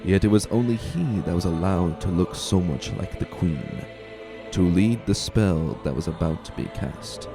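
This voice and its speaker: A man's voice: sits low, moderate pace. A man giving a dramatic storybook narration as a scripted reader. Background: faint music.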